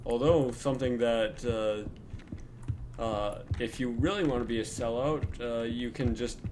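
A young man chatting casually while gaming, talking in halting bits with plenty of fillers. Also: medium pitch, fairly even intonation, darkish tone, slight background noise. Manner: hesitant, many fillers. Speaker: channel host gamer.

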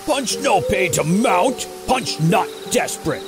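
A man's voice: sits high, expressive, slow pace, over music, heavily acted. An actor playing a big, dim, childlike mutant brute who loves cooking and speaks in blunt, broken caveman grammar in an audio drama. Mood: dim, defiant.

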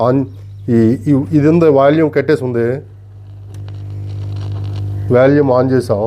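A man giving an unscripted demonstration, explaining deliberately and politely in short, broken phrases. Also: medium pitch, fairly even intonation, warm tone. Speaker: antique radio collector and presenter.

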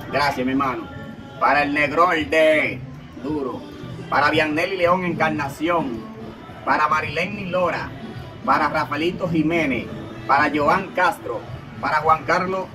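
A Dominican man in his thirties reading shout-outs to viewers off his phone, filmed outdoors by a barred window. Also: on the high side, rough recording. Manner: slow listing of names, reading off a phone. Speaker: channel host.

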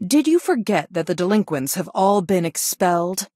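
Game role: stern female guidance counselor, measured and reproachful, slightly low register, dry studio sound. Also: sing-song, mellow, no room sound. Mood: suspicious. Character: stern female school guidance counselor, adult, strict and disappointed. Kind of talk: video game voice acting, scolding lines.

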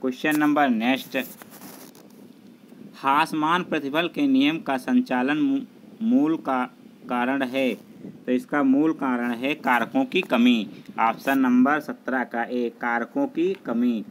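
A man's voice: mid-range voice, fairly even intonation, slow pace, a little hiss or hum, rough recording. A man working as an exam tutor, methodically reading out multiple-choice questions and their answers.

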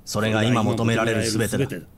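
An actor playing a cold, pragmatic senior police official in a game drama, clipped and authoritative. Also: male voice, lowish voice, flat delivery, fairly quick, a little hiss or hum, close to an everyday voice. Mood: cold, firm. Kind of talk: dramatic video game cutscene acting.

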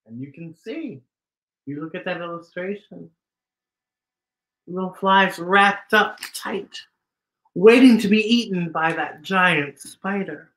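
A man hosting children's storytime, reading a storybook in a campy, drawn-out, exclamatory dramatic manner. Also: high voice, fairly bright tone, roomy sound, low-quality recording. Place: decorated indoor storytime set.

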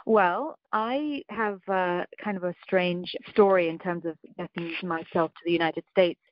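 A woman's voice: fairly low pitch, a little slow, no room sound, muffled recording. A woman in her forties with an English accent, a film producer telling her story in an interview, thoughtful and full of small restarts. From Scotland, raised in England. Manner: reflective, with frequent ums and restarts. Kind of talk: conversational interview.

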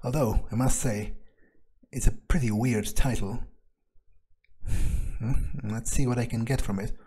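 A man's voice: medium pitch, fairly even intonation, unhurried, warm tone, husky. A man roleplaying as he plays a game, thinking aloud in first person with casual swearing. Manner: musing, sweary. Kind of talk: in-character first-person musing over gameplay.

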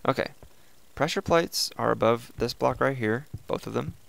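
A young man with a raspy voice, casually chatting and thinking aloud as he hosts a gameplay video. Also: medium pitch, normal speed, rough recording. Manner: relaxed, chatty, thinking aloud while playing. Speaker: let's play host.

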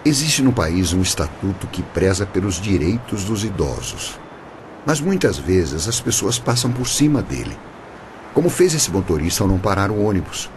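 Mysterious Brazilian male guide, lowish pitch, formal, measured, solemn, radio play, noisy ambience. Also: sing-song. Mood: explaining. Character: calm, mysterious male guide who gives solemn warnings. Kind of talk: radio drama acting.

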